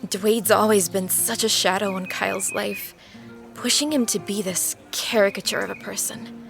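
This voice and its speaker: A woman's voice: mid-range voice, moderate pace, vocal fry, over music, lightly acted. An actress playing a restless, self-effacing and tender woman with a hesitant manner, in an audio drama. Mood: admiring, tender.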